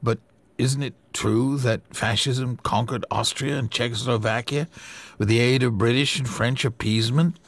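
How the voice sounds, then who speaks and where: Male reader as a pointed young man, challenging; gravelly, dry. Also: medium pitch, steady tone, moderate pace, dry recording. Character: young man, belligerent, questioning.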